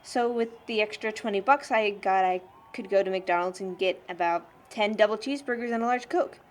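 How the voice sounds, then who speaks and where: A woman's voice: medium pitch, brisk, close to an everyday voice. A young woman playing a blunt, sardonic teenage churchgoing girl in an amateur audio drama, frank and slangy. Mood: wry.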